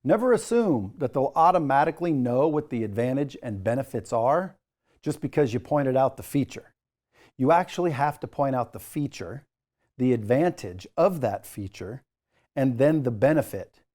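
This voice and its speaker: A man with a mellow, darker-toned voice at a medium pitch teaches as a course instructor. He addresses the learner directly in a coaching style, stressing his points while keeping the wording informal. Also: expressive, moderate pace. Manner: emphatic, jokey asides, coaching tone. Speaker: sales trainer, course instructor.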